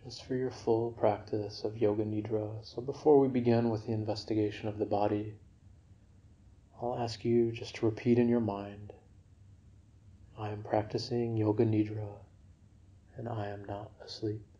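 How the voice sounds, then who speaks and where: A man guiding a yoga nidra relaxation for sleep in a gentle, unhurried, soothing manner. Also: on the low side, level tone, lo-fi sound. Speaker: meditation guide.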